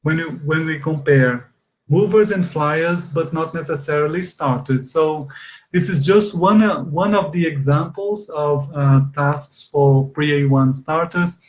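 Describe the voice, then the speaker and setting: A man's voice: a little high, a little slow, poor audio. A middle-aged man whose first language is Portuguese, presenting an online teacher webinar over a webcam from a home office, explaining steadily with many fillers. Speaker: exam trainer. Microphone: webcam video call.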